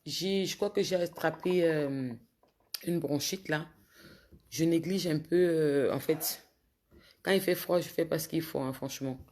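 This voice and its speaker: A woman with a cold, a bit hoarse, talking casually to viewers on a phone livestream and scolding commenters with indignation. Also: deep voice, a little slow, darkish tone. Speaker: social media livestreamer.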